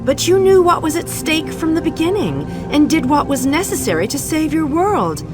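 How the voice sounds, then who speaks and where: A woman's voice: high-pitched, very animated, normal speed. An actress voicing an imperious, ancient goddess in a fantasy game, haughty, commanding and coldly regal in her delivery. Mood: cold, justifying. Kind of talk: dramatic fantasy game dialogue. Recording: studio voice under prominent music.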